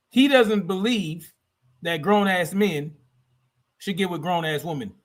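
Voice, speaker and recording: A man's voice: high voice, fairly bright tone. A man hosting a livestream in a combative, blunt, profane way, repeating phrases for emphasis as he reacts to clips and chat. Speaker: livestream host.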